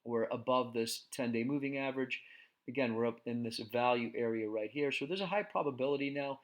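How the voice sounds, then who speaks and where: A man hosting a casual stock market recap, talking in a relaxed way with many fillers and restarts, and losing his voice. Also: mid-range voice, brisk, muffled recording.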